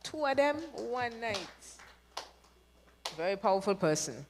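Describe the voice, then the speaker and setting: A woman's voice: mid-range voice, big pitch swings, unhurried. A woman hosting a live awards show, playful and chatty, asking the audience questions. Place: large hall. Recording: echoey hall with long reverb.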